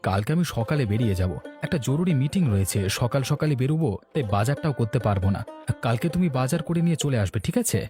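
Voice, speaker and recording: Guy playing a weary cartoon husband, talks quick, plain and homely, faint music under it. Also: medium pitch, expressive, warm tone, close to an everyday voice. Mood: matter-of-fact. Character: sensible, weary working husband, mildly scolding. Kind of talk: Bengali cartoon story dialogue.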